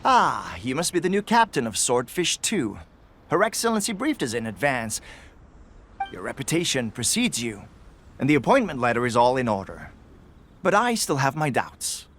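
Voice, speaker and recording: Veteran acting captain, video game, medium pitch, expressive intonation, blunt, formal military manner, faint background noise. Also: male voice, normal speed. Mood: skeptical. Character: sober, straight-talking male veteran soldier, acting captain. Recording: faint ambient noise behind the voice.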